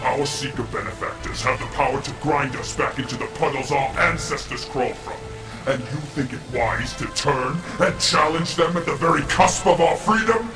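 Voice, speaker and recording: A man's voice: mid-range voice, normal speed, natural voice, low-quality recording. Man acting imperious, scornful game overlord, music behind. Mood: stern, scornful. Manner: commanding, contemptuous, formal. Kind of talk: video game cutscene acting.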